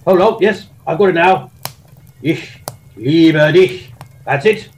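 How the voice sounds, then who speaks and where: A man's voice: fairly high pitch, slow, a little hiss or hum. A man playing a bumbling, jolly old-school army colonel with an English accent and a stammering, old-fashioned upper-class manner in a comic audio drama. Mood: bumbling, amorous.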